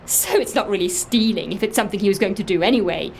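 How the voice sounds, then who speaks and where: A woman's voice: mid-range voice, quick pace, noisy background, close to an everyday voice. An actress playing an anxious, self-justifying woman in an audio drama, telling her story in a fussy, emphatic, defensive way. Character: anxious, self-justifying woman confessing impersonation, earnest dog lover. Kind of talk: audio drama dialogue, first-person confession.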